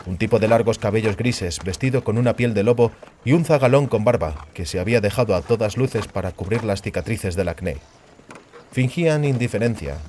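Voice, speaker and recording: A man's voice: on the low side, darkish tone, a little hiss or hum. A man narrating a fantasy novel in a scripted, literary audiobook style. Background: music.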